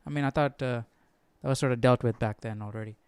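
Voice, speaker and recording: A man's voice: medium pitch, fairly even intonation, quick pace, darkish tone, clean tone, no room sound. A man hosting a Bible study livestream, thinking aloud in an informal, musing way and often repeating himself. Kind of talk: casual chat, thinking aloud.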